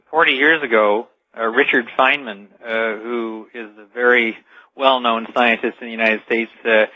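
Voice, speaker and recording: A man speaking as an expert guest on a radio interview, explaining things in an emphatic, measured way. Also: medium pitch, no room sound. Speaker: interview guest, technology author. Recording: dull, band-limited radio connection.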